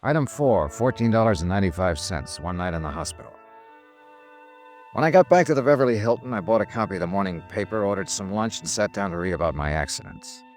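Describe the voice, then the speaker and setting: An actor playing a world-weary insurance investigator in a 1950s radio detective drama, grim and clipped with brooding pauses. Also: male voice, low-pitched, expressive, a little mellow. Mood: dry narration. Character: world-weary male insurance investigator, grimly narrating his case. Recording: vintage radio drama with music underneath.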